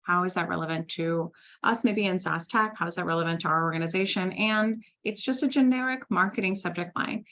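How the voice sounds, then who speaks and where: A woman's voice: on the low side, brisk, few pauses. Chatty woman teaching a sales class. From Switzerland. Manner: lively, conversational, with frequent "right?" tags. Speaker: sales trainer. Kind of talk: presenting a masterclass.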